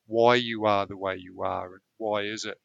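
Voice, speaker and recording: A male therapist answering an interview question in a thoughtful, measured way. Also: fairly low pitch, fairly even intonation, gravelly, a bit bright, no room sound, highs cut off. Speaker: therapist guest.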